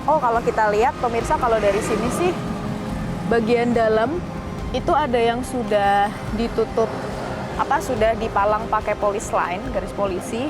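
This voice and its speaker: A woman's voice: fairly high pitch, normal speed, loud music behind the voice. A woman working as a TV reporter, explaining things to viewers in a conversational, improvised on-location style.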